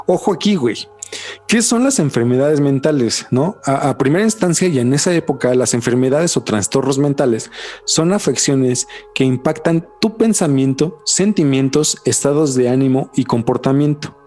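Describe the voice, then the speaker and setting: A man's voice: medium pitch, animated, normal speed, a little mellow, soft background music. A man with a Mexican Spanish accent hosting a podcast, talking casually with a lot of slang and swearing. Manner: slangy, swears a lot, says "güey" constantly. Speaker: podcast host. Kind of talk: casual chat.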